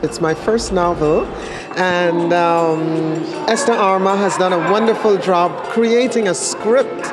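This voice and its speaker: A woman's voice: on the low side, slow pace. A middle-aged or older woman speaking informally in an interview as a novelist. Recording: loud music and crowd behind. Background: music and crowd chatter.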